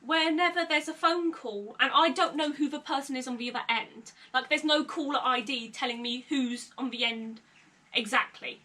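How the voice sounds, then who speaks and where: A woman's voice: sits high, moderate pace, bright, forceful tone. A young woman vlogging candidly from her bedroom, with an occasional stutter and nervous laughs. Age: young adult. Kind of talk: personal confessional vlog. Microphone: camera mic at a short distance. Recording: slightly distant camera mic.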